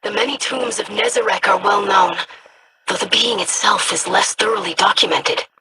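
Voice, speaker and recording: A performer voicing a scholarly researcher of ancient relics in a video game, speaking in a measured, formal, analytical way. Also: medium pitch, steady tone, bright, forceful tone. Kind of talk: video game character lines.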